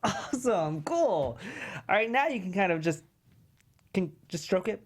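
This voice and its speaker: A man reading the part of a chill, sleazy middle-aged gym bro boss in a comedy table read, in casual, halting bro talk. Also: sits high, sing-song, full character voice. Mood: coaxing.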